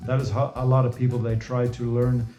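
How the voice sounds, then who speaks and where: Mellow-voiced man. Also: medium pitch, level tone, brisk, echoey room, muffled recording, soft background music. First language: Norwegian. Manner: loose and repetitive, full of "you know" and restarts. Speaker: host. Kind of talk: casual advice monologue.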